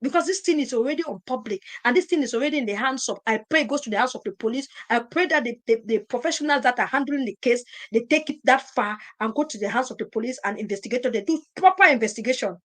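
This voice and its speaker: A woman's voice: a little high, expressive, fast, few pauses, fairly bright tone. A woman hosting a live stream, talking emphatically, repeating her points and firing rhetorical questions. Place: at home in Europe.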